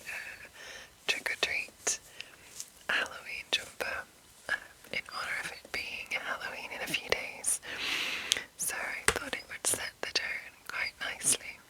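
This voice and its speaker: A woman host whispering in an unhurried, informal, rambling ASMR style as she gives an introduction. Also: pushed and bright, poor audio.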